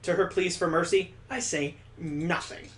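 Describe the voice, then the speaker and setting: Guy reading a visual novel out loud and snarking at it, kinda high voice, roomy echo. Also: steady tone, a little slow. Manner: dramatic reading broken by sarcastic comic asides. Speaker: let's play host.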